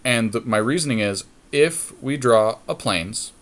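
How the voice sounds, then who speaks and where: A man co-hosting casual gameplay commentary on a card game, thinking out loud with jokey banter. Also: medium pitch, expressive, unhurried. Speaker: podcast co-host playing an online card game.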